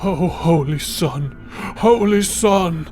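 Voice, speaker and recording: Man acting a high-voiced, pious, outraged priest. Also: expressive, measured pace, soft background music. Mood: horrified. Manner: stammering, exclamatory. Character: pious, hunched male sun priest, fearful, easily outraged.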